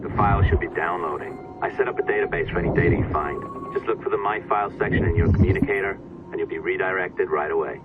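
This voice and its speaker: An actor playing a curt, sardonic office IT technician in a video game, giving dry instructions over a communicator. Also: male voice, low voice, fast talker. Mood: dry, instructing. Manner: dry, impatient, deadpan sarcasm. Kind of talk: scripted game dialogue, instructions. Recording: muffled communicator audio with clear noise.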